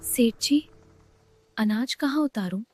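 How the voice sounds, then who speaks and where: A woman's voice: a little high, lively intonation, slow, a little mellow, soft background music. A woman playing a brave, clever young farmer's daughter in a moral story, respectful but determined. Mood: shrewd. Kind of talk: dramatized moral story dialogue.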